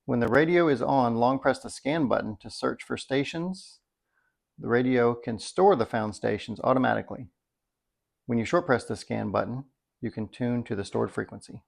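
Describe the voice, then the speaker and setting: A man giving a casual, unscripted product demonstration in a folksy, wry way, thinking out loud as he goes, with a slightly gravelly voice. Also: medium pitch, normal speed. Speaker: product reviewer.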